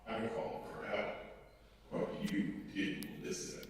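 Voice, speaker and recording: A forlorn, abandoned creature from a horror game, played by an actor, speaks slowly from far away. The halting plea is drowned in long cavernous reverb. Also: close to an everyday voice. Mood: forlorn pleading. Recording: echoey long reverb, distant, faint noise.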